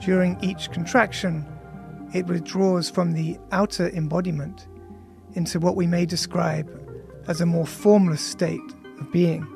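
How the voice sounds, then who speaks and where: High-pitched, creaky-voiced man reading an audiobook. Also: flat delivery, measured pace, a little mellow. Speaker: author reading own audiobook. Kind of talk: scripted audiobook narration. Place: studio. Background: music.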